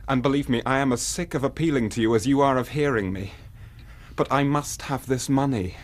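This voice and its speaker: An actor playing a desperate but courteous young British gentleman, speaking with formal, restrained earnestness in a radio drama. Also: medium pitch, a little fast, natural voice. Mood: pleading. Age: young adult. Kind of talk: BBC radio drama acting.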